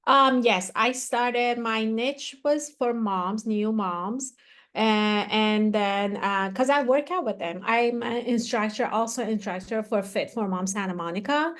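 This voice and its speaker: A woman's voice: fairly high pitch, measured pace, a bit bright. A woman, talking chattily with many fillers and restarts as an interview guest. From Iran. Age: 40s. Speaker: guest, activewear founder. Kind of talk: casual interview talk.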